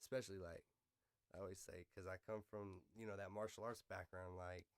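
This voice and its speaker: A man's voice: low-pitched, little pitch movement, fairly quick, smooth, no echo, studio quality. An American man hosting a podcast in casual, slangy, profanity-sprinkled conversation, giving his opinions in a loose, unscripted way. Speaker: podcast host.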